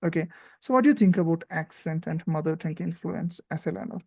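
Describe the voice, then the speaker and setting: A man with an Indian accent hosts a relaxed chat, his voice mellow and dark. He talks in encouraging bursts, full of "you know" and "right?". The sound is close and dry. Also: on the high side, fast talker, gravelly, no echo. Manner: frequent fillers and tag questions, encouraging. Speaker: host. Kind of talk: casual interview chat.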